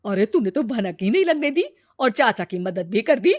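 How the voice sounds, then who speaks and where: A woman's voice: a little high, sing-song, moderate pace. A woman voicing a curious, chatty young village woman in a moral-story cartoon, with colloquial exclamations. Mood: probing, amazed.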